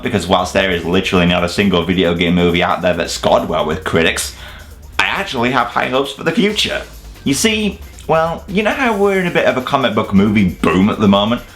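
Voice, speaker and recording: Animated British man, comedic countdown narrator. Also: deep voice. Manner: sarcastic, sweary, exaggerated. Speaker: host of a video review channel.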